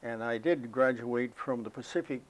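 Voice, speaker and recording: An elderly American man recalling his life as a veteran in an interview, speaking in a halting, reflective, unhurried way. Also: medium pitch, low-quality recording. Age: elderly, 80s or older. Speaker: interviewee, war veteran recalling military service. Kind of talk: oral history interview, personal recollection.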